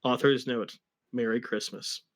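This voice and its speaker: A man's voice: medium pitch, steady tone, unhurried, a bit bright. A man reading fan fiction aloud dramatically and chatting casually about it. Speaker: story reader.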